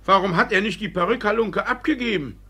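An actor playing a shifty, evasive village judge with a blustering, theatrical manner in a classic comedy radio play. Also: male voice, high voice, fairly quick, sharp tone, exaggerated voice. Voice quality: gravelly, husky.

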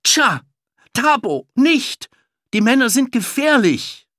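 Children's audiobook: frightened young girl, played by a man, high-pitched, loud, urgent warnings. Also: sing-song, slow, no echo, clean studio sound, heavily acted. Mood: alarmed, urgent. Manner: urgent, shrill shouting.

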